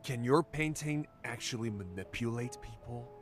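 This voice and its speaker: An actor voicing an anxious, gossip-hungry middle-aged tabloid reporter in a game, nervous and dramatic in delivery. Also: male voice, medium pitch, normal speed, faint music behind, natural voice. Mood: eager, hushed gossip. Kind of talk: English game voice acting.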